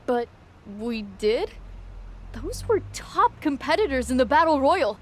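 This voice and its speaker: High-voiced, naive young woman wrestler. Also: big pitch swings, unhurried, heavily acted. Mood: eager, trusting. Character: naive, eager young woman wrestler, loyal faction devotee. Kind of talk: video game cutscene dialogue.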